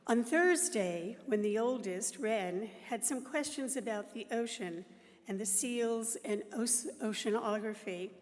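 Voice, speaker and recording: A middle-aged woman leading a church service, telling a story in a slow, earnest way into a microphone. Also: fairly high pitch. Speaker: worship leader. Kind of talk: reflective talk at a service. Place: church sanctuary. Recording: reverberant hall.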